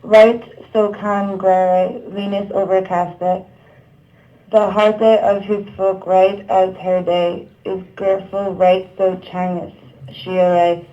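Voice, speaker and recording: A woman narrating a puppet show, reciting old verse in a measured, declamatory manner. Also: mid-range voice, little pitch movement, warm tone, lo-fi sound.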